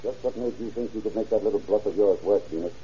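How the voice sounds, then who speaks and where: A man's voice: lowish voice, fast, close to an everyday voice. A man acting a hard, relentless police interrogator in a 1930s radio drama, clipped and accusing. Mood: accusing, pressing. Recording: muffled old radio recording.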